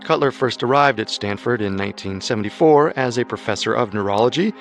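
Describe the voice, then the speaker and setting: A man narrating a true-crime story in a measured, scripted storytelling manner. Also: mid-range voice, fairly bright tone, faint music behind.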